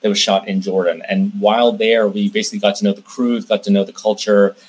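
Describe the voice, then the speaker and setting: Man, low husky voice, interview guest, explanatory, hesitant phrasing with repeats. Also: little pitch movement, fast, a little mellow. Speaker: interview guest, film director and producer. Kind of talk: conversational interview answers.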